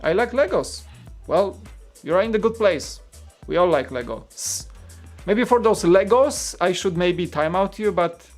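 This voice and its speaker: A man from Poland with a Polish accent, hosting a live stream in a casual chat and reading out viewer comments. He talks in a rambling, relaxed way with many fillers and restarts. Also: sits high, a little slow, hardly any pauses, soft background music.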